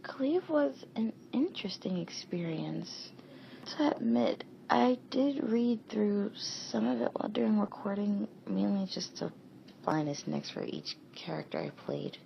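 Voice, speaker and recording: Muffled noisy mic, woman, gravelly fry, medium pitch, thoughtful halting informal review. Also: animated. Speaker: amateur voice actor.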